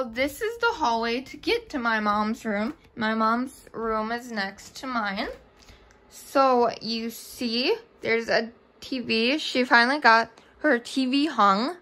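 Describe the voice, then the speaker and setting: A woman's voice: fairly high pitch, pushed and bright. A young woman vlogging casually around her home, rambling and hesitant as she thinks aloud. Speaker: vlogger giving a house tour. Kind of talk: casual rambling home-tour chat to camera. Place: rooms of a home, indoors. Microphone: handheld camera or phone at arm's length.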